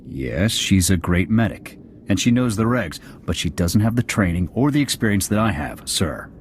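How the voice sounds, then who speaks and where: A man playing a gruff, combative, proud army sergeant in a video game, speaking bluntly. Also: deep voice, sing-song, moderate pace, slight background noise. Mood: indignant. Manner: blunt, indignant, surly. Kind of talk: scripted video game acting.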